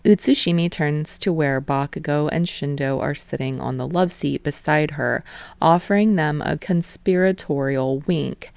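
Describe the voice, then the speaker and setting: A woman reading fan fiction aloud as an audiobook narrator, in a steady storytelling manner. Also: deep voice, a little slow, vocal fry, dry recording. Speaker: podfic reader.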